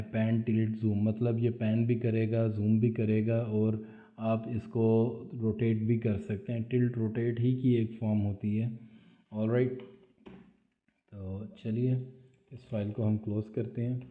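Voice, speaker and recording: A man, explaining drawing steps aloud as he works through a screen-recorded CAD tutorial. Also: on the low side. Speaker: CAD drafting instructor. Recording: tinny phone-like audio.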